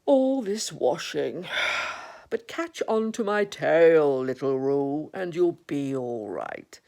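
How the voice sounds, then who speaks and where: A glum old storybook donkey, put on by a woman, dragging each word out very slowly. He mutters and complains in a mournful, resigned grumble. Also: sits low, animated, creaky, heavily acted. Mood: gloomy, grumbling.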